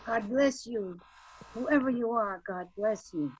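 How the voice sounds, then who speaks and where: A woman's voice: on the high side, slow pace. A woman reading the part of a weary, awed condemned prisoner in a radio drama. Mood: hushed, awed. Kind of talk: table read of a radio drama. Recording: faint noisy home virtual-call recording.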